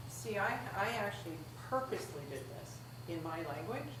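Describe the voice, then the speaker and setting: A woman's voice: fairly low pitch, animated, normal speed, a crowd in the background. A woman taking part in a workshop, sharing casually in a candid, blunt way. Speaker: workshop participant. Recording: very echoey, noisy room, far from mic.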